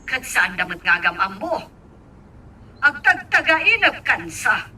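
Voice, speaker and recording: A dramatic middle-aged mother in a radio drama, sharp and intense, with big swings in pitch. She speaks slowly, slightly away from the microphone. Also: sing-song, husky, heavily acted. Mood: shocked, disbelieving. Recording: faint noise, distant microphone.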